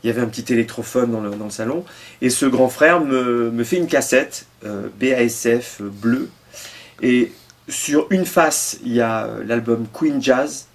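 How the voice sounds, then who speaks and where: A man's voice: medium pitch, measured pace. Rambling middle-aged man in a home studio. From France. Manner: rambling, many fillers and trailing asides. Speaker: guitarist. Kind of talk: casual interview answers. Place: home music studio, indoors.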